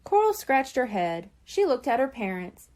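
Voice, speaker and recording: A woman reading a children's story aloud as scripted, expressive narration. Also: sits high, moderate pace.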